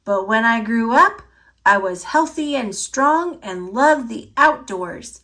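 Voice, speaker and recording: A woman's voice: on the high side, lively intonation, slow pace, bright, forceful tone, heavily acted. A woman voicing a bold, hearty schoolgirl who plays Teddy Roosevelt in an exclamatory storybook style.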